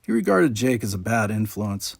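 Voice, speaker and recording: A man's voice: lowish voice, sing-song, moderate pace, creaky, slightly rough. A man reading a story aloud as scripted audiobook narration. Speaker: amateur audiobook reader.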